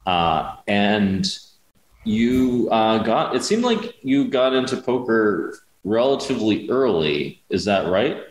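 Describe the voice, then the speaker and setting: A man hosting an interview, talking loosely and haltingly with fillers and restarts. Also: fairly low pitch, very animated, reverberant, slight background noise, low-quality recording. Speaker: podcast host. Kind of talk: casual interview conversation.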